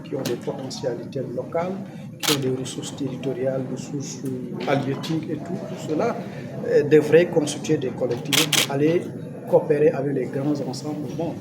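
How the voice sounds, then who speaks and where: A man's voice: medium pitch, normal speed. A man with a Senegalese accent giving an unscripted, formal and didactic statement to the press as an official. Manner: formal and didactic, posing and answering his own questions. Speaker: government official. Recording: loud background noise, event ambience.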